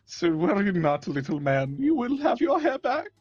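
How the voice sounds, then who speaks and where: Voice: medium pitch, very animated, moderate pace, a little mellow, husky, dry recording, lo-fi sound, faint music behind. A performer voicing a theatrical villain barber who cackles often and speaks in pompous, old-fashioned phrases. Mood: gleeful menacing. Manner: archaic pompous speech, frequent cackles.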